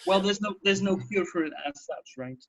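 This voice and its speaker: A man's voice: sits high, fairly quick, fairly bright tone. A man in a casual radio discussion of health news, explaining things and often rephrasing himself as he goes. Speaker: radio talk host.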